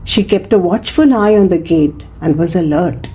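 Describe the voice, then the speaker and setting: A woman narrating a scripted children's moral story in an expressive, measured storytelling manner. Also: lowish voice, slightly rough.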